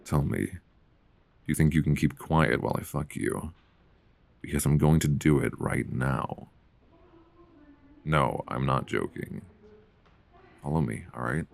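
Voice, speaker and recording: A man playing a mild-mannered, flirtatious middle-aged librarian who can turn quietly commanding, in an intimate, conversational ASMR roleplay on a close mic. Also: very deep voice, creaky, dry recording, heavily acted. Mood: serious, commanding. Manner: conversational, hesitant, teasing. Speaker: audio roleplay performer. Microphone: close binaural microphone.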